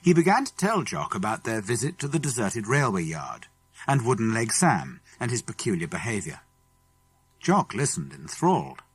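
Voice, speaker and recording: A man's voice: on the low side, huge pitch swings, normal speed, husky, soft background music. A man with a British accent reading a children's story as an audiobook narrator.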